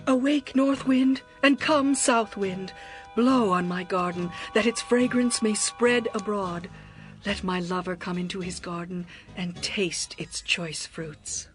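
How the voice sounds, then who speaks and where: A woman playing a passionate, lovesick young bride in a dramatized scripture reading, with poetic, expressive delivery. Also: medium pitch, slow, natural voice. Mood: yearning. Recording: music behind the voice.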